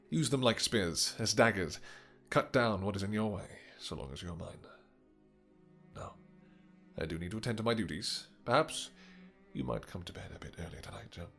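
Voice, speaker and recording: A man playing a possessive, lovestruck vampire king in a romantic fantasy audio roleplay, speaking in formal courtly phrases with halting pauses. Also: deep voice, creaky, a bit bright, full character voice. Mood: commanding, devoted, then tender, suggestive.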